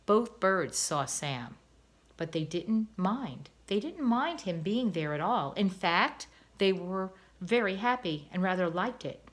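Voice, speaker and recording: A woman's voice: mid-range voice, takes it slowly. Woman reading a storybook aloud. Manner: expressive, gentle read-aloud.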